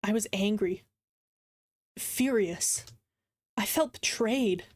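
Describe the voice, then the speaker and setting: A woman voicing a hurt, angry male visual novel narrator in a measured, brooding way. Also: medium pitch, darkish tone, no room sound. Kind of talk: dramatic first-person story reading.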